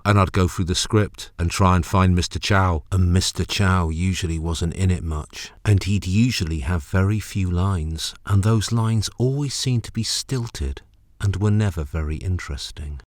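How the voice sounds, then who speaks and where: A man's voice: sits low, animated, normal speed, no room sound, studio quality. A man narrating a personal documentary script in a wry, rhythmic way. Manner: wry, rhythmic, repetitive phrasing. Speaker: presenter, narrator, actor.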